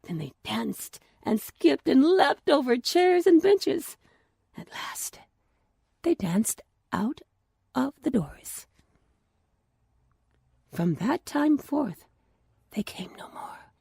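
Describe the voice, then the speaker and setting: A woman tells a children's story at a slow, measured pace, her pitch moving widely. Her delivery is expressive and deliberate, with hushed touches, in a very dry, close recording. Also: vocal fry, dry recording. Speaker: storyteller and podcast host. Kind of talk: scripted children's story narration.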